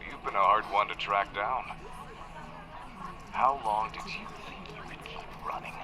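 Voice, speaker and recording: Actor as a low, slow, menacing male hunter. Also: background noise, natural voice. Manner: low, deliberate, threatening. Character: menacing, possessive male hunter tracking a runaway. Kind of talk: audio roleplay acting.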